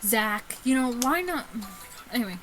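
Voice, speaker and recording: A woman's voice: on the high side, animated, fairly quick. A woman giving casual, sweary let's play commentary and reading a visual novel aloud, giggly and stumbling over the text. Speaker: gaming YouTuber. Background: music behind the voice.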